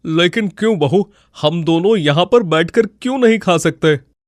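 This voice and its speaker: A man's voice: sits high, animated, moderate pace, no echo, clean studio sound. A man voicing a humiliated, weary elderly father-in-law in a cartoon story, lamenting and aggrieved. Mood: protesting. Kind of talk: Hindi cartoon moral-story dialogue.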